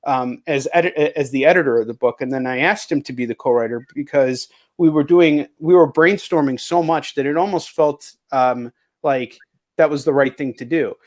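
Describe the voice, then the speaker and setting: A man's voice: medium pitch, moderate pace. A man chatting casually as an interview host, speaking bluntly and swearing often. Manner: blunt, frequently profane. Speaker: host, comic book writer and publisher.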